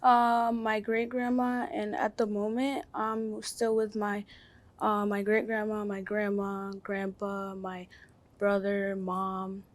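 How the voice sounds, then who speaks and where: A woman's voice: mid-range voice, monotone, takes it slowly. A teenage girl from the Dominican Republic answering interview questions casually, with many fillers. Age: teens. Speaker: student interviewee. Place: school studio.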